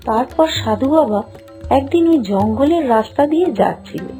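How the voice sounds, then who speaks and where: High animated lady reading a kids fairy tale, clear music playing behind. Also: moderate pace. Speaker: narrator. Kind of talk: scripted children's story narration. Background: background music.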